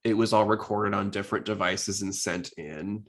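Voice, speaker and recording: A man's voice: on the low side, fairly even intonation, moderate pace, fairly bright tone. A man hosting a podcast, talking casually about anime in a conversational way. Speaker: podcast host.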